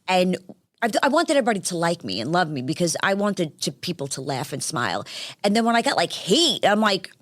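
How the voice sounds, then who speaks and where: A woman's voice: fairly low pitch, brisk, dry recording. Brash New York woman, dry studio sound. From Staten Island, New York. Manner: animated, brash, self-deprecating, many restarts. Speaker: guest, former reality show contestant. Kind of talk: casual interview chat.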